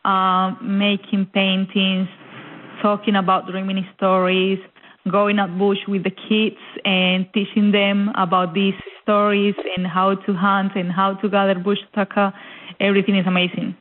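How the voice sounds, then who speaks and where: A woman's voice: mid-range voice, monotone, a little slow, a little hiss or hum. A young woman from Colombia with a Colombian accent, a guest in an interview who talks eagerly and repeats words as she searches for them. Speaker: guest, cultural centre officer. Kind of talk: casual interview chat. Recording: tinny phone line.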